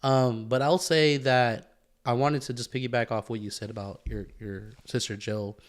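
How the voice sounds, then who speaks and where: A man's voice: mid-range voice, steady tone, moderate pace. A man co-hosting a podcast in casual chat, loose and slangy, swearing as he tells anecdotes. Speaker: podcast co-host.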